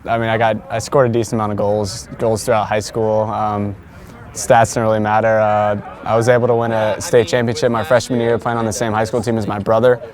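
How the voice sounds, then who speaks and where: A young male athlete answering casual interview questions in a modest, halting way. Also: lowish voice, little pitch movement. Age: young adult. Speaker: college soccer player interviewee.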